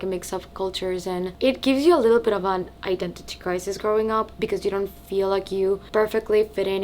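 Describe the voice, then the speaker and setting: Woman in her twenties from Mexico, relaxed home chat, repeats words, faint background rumble. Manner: chatty, repeats words for emphasis. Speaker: beauty vlogger. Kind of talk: casual get-ready-with-me chat. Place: home, living in Spain.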